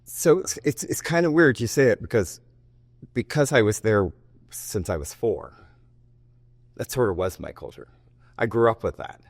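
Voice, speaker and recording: Deep-voiced man in his fifties, rambling. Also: expressive, moderate pace, pauses often, no echo. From United States, raised in Japan. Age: 50s. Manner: rambling with frequent restarts and self-interrupting asides. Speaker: martial arts teacher and guest. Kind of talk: relaxed interview talk.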